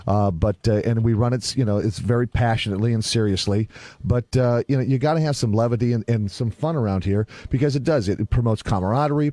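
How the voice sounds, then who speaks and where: A man's voice: mid-range voice, warm tone, no echo. A man in a manager's role on a casual panel, explaining himself a little hesitantly. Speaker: radio manager.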